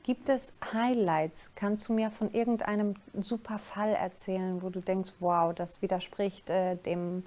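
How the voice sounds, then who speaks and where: Woman interviewer with a medium-pitched voice. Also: steady tone, a little slow, hardly any pauses, warm tone. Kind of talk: documentary interview questions.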